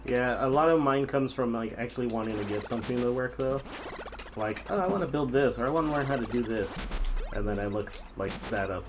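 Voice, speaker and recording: A man's voice: mid-range voice, brisk, background noise. A man from Minnesota playing a retro game, laid-back and muttering at it as he goes. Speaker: game player. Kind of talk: let's play commentary.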